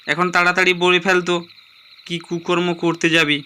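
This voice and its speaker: A man voicing a grumbling but generous young friend in an animated story, blunt and teasing. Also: high-pitched, moderate pace, slight background noise. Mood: annoyed, teasing. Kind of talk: animated horror story dialogue.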